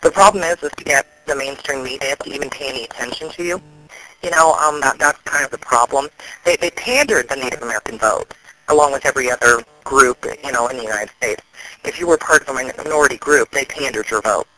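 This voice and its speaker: A man, an activist, explaining things in a serious phone conversation, often halting and restarting. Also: mid-range voice, sharp tone, low-quality recording.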